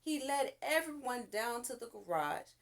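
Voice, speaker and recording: A woman's voice: a little high, a little slow, creaky. A woman reading a children's story aloud expressively, as if to young listeners. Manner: expressive, with character voices and asides to listeners.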